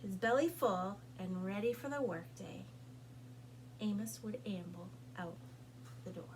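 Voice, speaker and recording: A woman reading a children's picture book aloud as a storytime host, with deliberate, expressive phrasing and pauses for young listeners. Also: medium pitch, a little hiss or hum, low-quality recording. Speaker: storytime reader at a public library.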